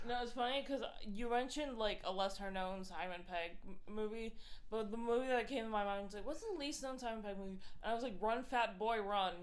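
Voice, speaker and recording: A woman's voice: a little high, brisk. A woman co-hosting a podcast, chatting casually about films with informal, joking banter. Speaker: podcast co-host. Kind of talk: casual film discussion.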